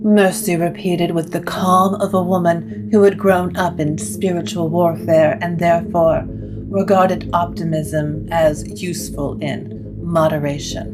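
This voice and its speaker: A woman narrating a scripted gothic story in a measured, literary way. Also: on the low side, fairly even intonation, music in the background, poor audio.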